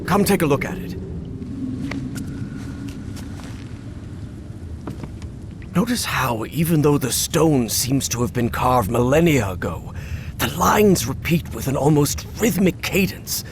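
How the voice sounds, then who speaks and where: An actor playing a protective, stern, scholarly professor in a video game, with formal, precise diction. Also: male voice, fairly high pitch, sing-song, moderate pace, a little hiss or hum. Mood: absorbed, scholarly.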